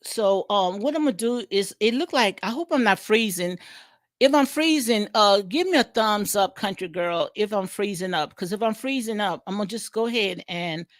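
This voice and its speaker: A woman's voice: medium pitch, expressive, moderate pace, dry recording, studio quality. Rambling Southern woman streaming commentary. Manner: rambling, repetitive, frequent fillers and restarts. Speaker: commentary vlogger. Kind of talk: casual reality-TV commentary livestream.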